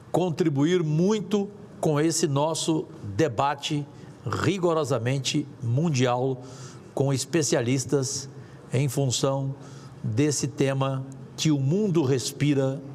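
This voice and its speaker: A man's voice: fairly high pitch, darkish tone. A man with a Brazilian accent chairing a formal hearing in a courteous, ceremonious, oratorical manner. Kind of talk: formal debate moderation. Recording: echoing hall, clearly noisy background.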